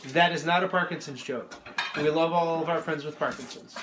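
A man's voice: on the high side, brisk, noisy background. A man in his thirties filming a friend's cooking demo in a small home kitchen, throwing in wisecracks. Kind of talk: casual banter. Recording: echoey kitchen.

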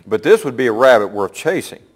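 An older man hosting a local TV interview, talking in a folksy, rambling and halting way. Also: mid-range voice, very animated, a little hiss or hum. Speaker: host. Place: small TV studio.

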